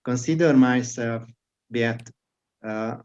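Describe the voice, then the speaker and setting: A Hungarian-accented man whose first language is Hungarian, hosting an interview. He speaks slowly and haltingly, restarting words as he searches for them, at a medium pitch. The audio sounds dull and lo-fi. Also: darkish tone. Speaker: podcast host. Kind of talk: casual interview chat.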